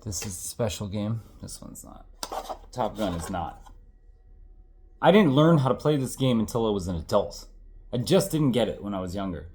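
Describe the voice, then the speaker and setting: A man's voice: lowish voice, lively intonation, normal speed, pauses often, darkish tone, a little hiss or hum. A man in his thirties, congested and tired, chatting casually and rambling as he shows off his old game collection, correcting himself and swearing now and then.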